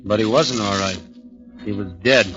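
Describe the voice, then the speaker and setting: A man's voice: fairly low pitch, moderate pace, natural voice. An actor playing a bewildered, earnest young American man accused of murder, hesitant and plain-spoken, in 1940s radio drama. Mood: grim, resigned. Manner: hesitant, plain-spoken, stammering when pressed. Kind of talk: 1940s radio drama acting, with first-person narration. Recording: dull 1940s radio recording, music behind.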